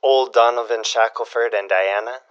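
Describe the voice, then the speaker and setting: A man's voice: mid-range voice, fairly even intonation, moderate pace, clear, resonant tone, lo-fi sound, natural voice. An actor playing a curious, folksy man with dry, old-fashioned turns of phrase, prying for gossip in a period audio drama.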